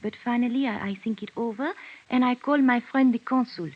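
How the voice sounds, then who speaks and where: A muffled vintage radio recording of a woman acting a frightened young Frenchwoman. Her voice is fairly high, with a French accent and hesitant, apologetic broken English, like a reluctant witness in a detective drama. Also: fairly quick, lightly acted. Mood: timid, apologetic.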